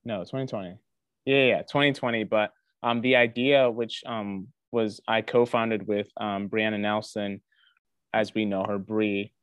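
A man's voice: lowish voice, fairly even intonation, unhurried, fairly bright tone, smooth, dry recording. A young man from Ghana, a guest in a casual interview, talking earnestly with a lot of ums and restarts. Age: young adult. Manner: earnest, many fillers and restarts. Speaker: interview guest, student athlete.

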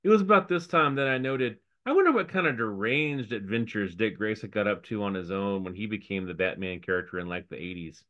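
A man with a bright, forceful voice throws in wisecracks and mock quotes during a casual podcast chat. His audio comes through dull and muffled. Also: fairly low pitch, lively intonation, fairly quick, few pauses. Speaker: podcast co-host.

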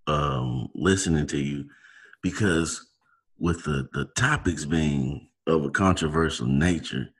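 Man, very deep voice, husky, rambling, searching for words, casual interviewer. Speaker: podcast interviewer.

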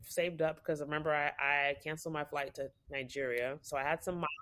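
A woman's voice: deep voice, steady tone, fairly quick, fairly bright tone. A young adult woman co-hosting a podcast, telling a story in a chatty way with lots of fillers. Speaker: podcast co-host. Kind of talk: casual chat.

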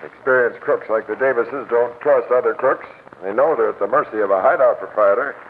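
An actor playing a gruff, businesslike police captain, terse and dogged, in the style of 1940s radio drama. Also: male voice, medium pitch, a little fast, lightly acted. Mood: rueful, frustrated. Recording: tinny, noisy vintage radio broadcast.

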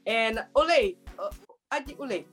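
A woman hosting a casual talk show with lively, teasing, playful banter. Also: fairly high pitch, moderate pace, soft background music.

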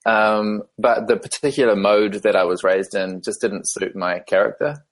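A man with a New Zealand accent and a lowish voice, talking as a podcast guest. He speaks in a thoughtful, measured way and often pauses on "um" while he finds the next thought. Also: fairly even intonation. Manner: thoughtful, measured, with frequent ums. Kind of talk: casual interview conversation.

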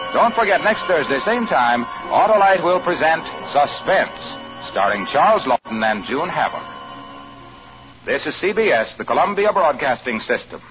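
A man with an American accent announcing in the formal style of mid-century radio. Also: a little high. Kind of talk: 1940s-50s radio announcing. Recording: dull vintage radio recording.

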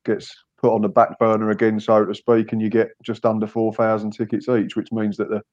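Dry guy, monotone, kinda muffled sound. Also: lowish voice, brisk. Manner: wry, dry opinions. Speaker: co-presenter. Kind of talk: casual football chat. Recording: dull lo-fi audio.

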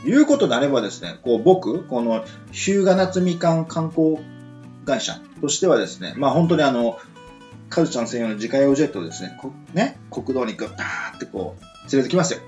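Man with animated, swinging intonation, Japanese radio host. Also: medium pitch, brisk, faint music behind. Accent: western Japanese. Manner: joking, frequent backchannel responses. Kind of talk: casual radio chat. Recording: roomy, slightly muffled recording.

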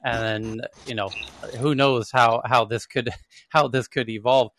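A man's voice: medium pitch, fairly even intonation, unhurried, fairly bright tone, no echo. A man hosting a casual live audio chat about tech news, talking in a loose, rambling way with many fillers and restarts. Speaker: host.

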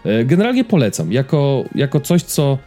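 A man talks in a soft, dark, relaxed voice of average pitch. He reviews casually, feeling for the next word and seeking agreement as he goes. Faint music plays underneath. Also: moderate pace. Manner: hesitant, searching for words, checking in with listener. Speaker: podcast co-host reviewing films. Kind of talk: casual conversational film review.

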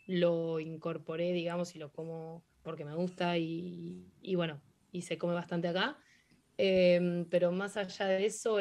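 A woman's voice: on the low side, a little mellow. A young woman with an Argentine accent answering interview questions casually, with many fillers and restarts. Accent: Argentine Spanish. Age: young adult. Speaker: interviewee, hockey player.

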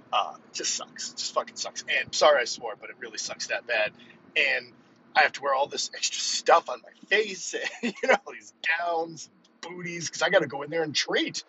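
A man in his fifties talks off the cuff as a podcast host and coach. His voice is bright and forceful at an ordinary pitch, with an emphatic, rambling delivery. The recording is dull and lo-fi, with faint vehicle noise behind him. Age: 50s. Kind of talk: casual solo podcast chat.

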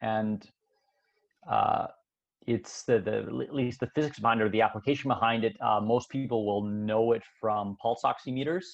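A man who grew up in Canada, a sports scientist guest on a podcast, explaining his work in a relaxed way full of fillers and restarts. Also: fairly low pitch, moderate pace, vocal fry, muffled recording. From Switzerland, raised in Canada. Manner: relaxed, thinking aloud with many fillers and restarts. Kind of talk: casual interview explaining science.

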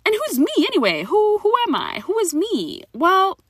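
A woman's voice: very high-pitched, wildly swooping pitch, moderate pace, a bit bright, dry recording, clean studio sound. A woman in her thirties from Zimbabwe hosting her own podcast, talking frankly and encouragingly to listeners, with casual swearing. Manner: frank, profane, encouraging, talks straight to listeners. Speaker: podcast host. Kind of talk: personal podcast monologue.

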